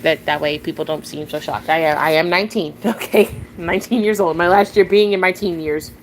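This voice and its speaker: A woman's voice: lowish voice, fairly quick, a little hiss or hum. Chatty nineteen-year-old woman streamer. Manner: rambling, chatty, answers chat, frequent giggles and restarts. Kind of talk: casual live-stream chat.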